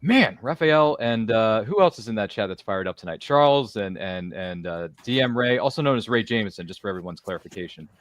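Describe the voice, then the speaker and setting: A man's voice: fairly low pitch, lively intonation, brisk, hardly any pauses, no room sound. A man hosting a casual livestream roundtable, effusive and slangy, often stammering and repeating himself with lots of energy. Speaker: podcast host.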